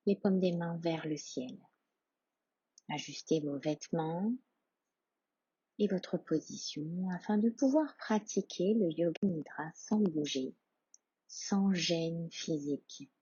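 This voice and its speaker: A woman guides a meditation in a low, very breathy voice, hushed and soothing. She speaks very slowly, leaving room between phrases. The recording is slightly muffled. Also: dry recording. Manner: hushed, calm, soothing, with long pauses. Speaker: yoga nidra instructor. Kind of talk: guided meditation, soft slow instruction.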